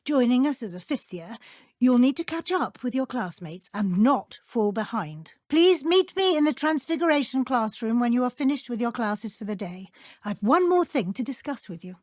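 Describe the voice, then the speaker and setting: Game role: British schoolmistress witch, high-pitched, formal and precise, caring but brisk, recorded very dry. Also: a little mellow, dry recording. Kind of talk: scripted video game character dialogue.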